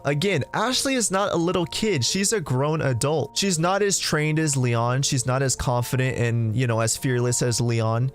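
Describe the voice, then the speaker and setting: A man with a slightly high voice hosts an opinionated video game list. He talks straight to viewers in a conversational, emphatic way. Music plays behind him. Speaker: video creator and host. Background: background music.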